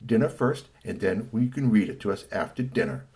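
A man's voice: lowish voice, expressive, a little fast, dark tone. A man voicing a practical, firm, no-nonsense mother in a children's story.

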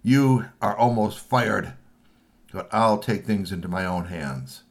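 A man's voice: lowish voice, slow. A man voicing a short-tempered big boss who gives curt, demanding commands.

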